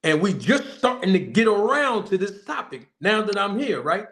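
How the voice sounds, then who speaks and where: A man hosting his own online show, speaking in a somewhat high register for a man. His delivery is forceful and full of repetition and street slang. He hammers each point home as he makes his case to a guest. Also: very animated, normal speed. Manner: forceful, repetitive, preacher-like emphasis; casual slang-heavy speech. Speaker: boxing manager and channel host. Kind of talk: emphatic casual talk show monologue.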